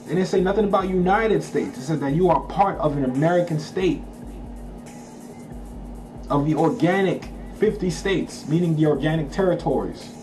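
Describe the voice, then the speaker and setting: A man's voice: on the high side, moderate pace. Man reading and explaining, over music. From Haiti. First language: Haitian Creole. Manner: emphatic, checks in with listeners, slangy asides. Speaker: channel host. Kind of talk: casual commentary reading aloud from a document.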